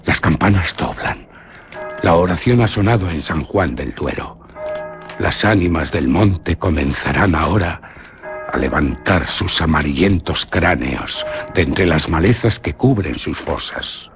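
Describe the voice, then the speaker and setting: Brief: a young noble hunter from Spain, boastful yet frightened, low, very expressive radio drama delivery. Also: male voice, measured pace, faint music behind, heavily acted. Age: young adult. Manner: dramatic, swings from boastful to fearful.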